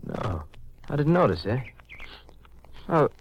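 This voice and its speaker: An actor playing an earnest, talkative highway patrol officer in a 1950s radio drama, explaining things in a folksy way. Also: male voice, sing-song, slow pace, highs cut off. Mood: puzzled, doubtful. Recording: noisy old radio drama recording.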